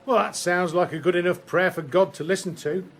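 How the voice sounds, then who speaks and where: A man's voice: high voice, fairly even intonation, brisk, fairly bright tone, a little hiss or hum. A man playing a blunt, commanding First World War army sergeant in a radio drama. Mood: gruff, relenting. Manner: barking, blunt orders.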